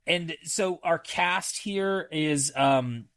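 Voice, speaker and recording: A man hosting a podcast, casually reviewing a film in a halting, thinking-aloud way with enthusiastic, repeated emphasis. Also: a little high, fairly bright tone, dry recording. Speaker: movie review podcast host.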